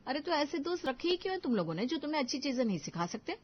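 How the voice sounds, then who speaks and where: A woman voicing a stubborn, argumentative young mother and housewife in an animated family story. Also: high voice, very fast, muffled recording, pitched above the performer's own voice. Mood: indignant scolding. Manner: argumentative, insistent.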